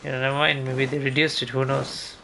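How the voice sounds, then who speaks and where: A man's voice: medium pitch, flat delivery, a little fast, creaky, bright, forceful tone, slight background noise. A man giving casual gameplay commentary, thinking aloud as he mutters through each step to himself. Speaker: video game streamer. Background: game music.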